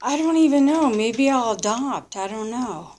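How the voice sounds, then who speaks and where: A woman in her early fifties giving a candid, unscripted personal testimony in short, halting, reflective phrases. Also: a little high, animated, a little mellow. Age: early 50s. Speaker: recovering alcoholic sharing her story.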